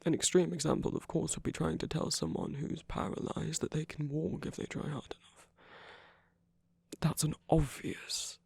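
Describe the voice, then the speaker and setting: Man playing a husky, tender villain lover, half-whispered. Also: a little high, steady tone, dry recording, heavily acted. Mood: gentle, earnest. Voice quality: soft, breathy, often dropping to a whisper. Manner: slow, gentle, measured, with deliberate pauses. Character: tender, commanding male villain comforting his lover. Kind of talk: romantic ASMR roleplay audio.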